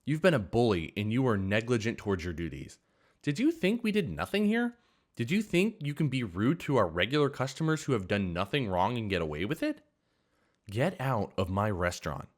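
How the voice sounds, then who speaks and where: Man voicing a firm young female boss, stern lecturing tone, lively pitch. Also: moderate pace. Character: young woman restaurant owner, firm and fed up.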